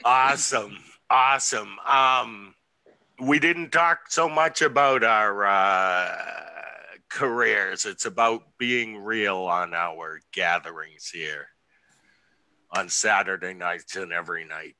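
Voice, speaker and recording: A man hosting a panel talk over a video call and asking his guests questions in an unhurried, friendly way. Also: fairly low pitch, sharp tone, no room sound. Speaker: host.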